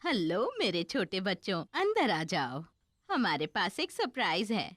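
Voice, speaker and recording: A woman voicing a kindly old granny pig in a children's cartoon dub, affectionate and expressive. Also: mid-range voice, unhurried, dry recording, clean studio sound, full character voice. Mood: welcoming.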